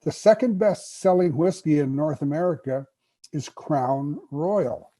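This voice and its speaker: A man talks as an invited whisky expert in a relaxed interview, his voice slightly higher than most men's, soft-edged and breathy. He is emphatic about his subject and clears his throat every so often. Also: measured pace. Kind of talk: casual interview talk.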